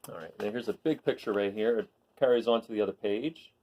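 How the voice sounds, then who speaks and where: A man reading a children's picture book aloud expressively, pausing for asides and questions to his listeners. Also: on the low side, a little mellow, poor audio. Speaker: read-aloud storyteller.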